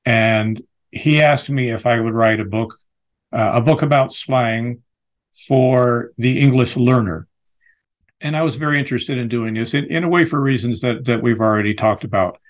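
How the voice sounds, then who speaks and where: An American man, a lexicographer and author, giving thoughtful, measured interview answers with frequent restarts. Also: mid-range voice. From United States.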